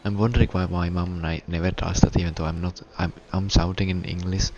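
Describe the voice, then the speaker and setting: Low-voiced Finnish man stumbling through commentary. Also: fairly even intonation, a little mellow, highs cut off, a little hiss or hum. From Finland. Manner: halting, stuttering delivery with frequent restarts. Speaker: race commentator. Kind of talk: live sim racing commentary.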